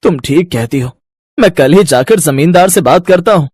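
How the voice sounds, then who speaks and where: A man's voice: fairly high pitch, expressive, moderate pace, darkish tone, breathy edge, no echo, studio quality. A man playing an earnest, troubled poor mint seller in a moral story, with a pleading manner. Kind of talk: Hindi moral story voice acting.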